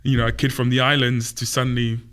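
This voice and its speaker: Man, opera tenor guest, bright forceful voice, laughs a lot while telling stories. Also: medium pitch, quick pace, a little hiss or hum. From Samoa, raised in New Zealand. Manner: laughs often, animated anecdotes. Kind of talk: casual interview storytelling.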